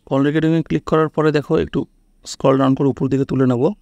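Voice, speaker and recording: A man's voice: fairly high pitch, level tone, fast talker, darkish tone, no room sound, dull audio. A man hosts a casual step-by-step phone tutorial, instructing and often checking that the listener follows. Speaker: tech tutorial host.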